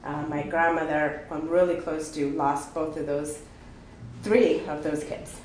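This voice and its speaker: Woman from ethiopia sharing her story off the cuff, lowish voice, lots of ums, roomy echo with faint background hum. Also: steady tone, a little mellow. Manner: halting, many fillers and restarts, candid. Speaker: speaker sharing her story at a recovery meeting. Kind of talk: unprepared personal testimony. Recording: echoey room with faint ambience.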